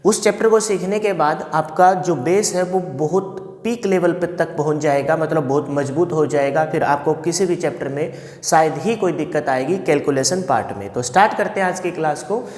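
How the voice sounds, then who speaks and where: Slightly hoarse man teaching in an echoey room. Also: fairly high pitch, moderate pace, a little mellow. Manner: asks questions and answers them himself, repeating key points. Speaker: math teacher. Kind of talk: classroom math lesson. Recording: echoey room with long reverb.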